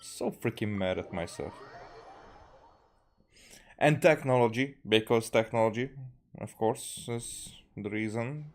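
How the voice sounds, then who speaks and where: Male game streamer, Hungarian native speaker: lowish, unhurried, halting, casual muttered commentary. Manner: halting, muttering, repeats words. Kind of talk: casual live gameplay commentary. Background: game music.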